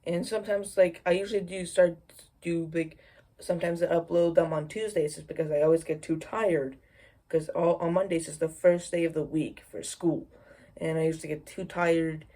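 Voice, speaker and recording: A woman talks casually to her viewers in a low voice with a dark, mellow tone. She rambles and halts, often doubling back over the same phrase as she introduces her video. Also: steady tone, low-quality recording. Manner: halting, rambling, repeats phrases. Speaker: video creator introducing a premiere.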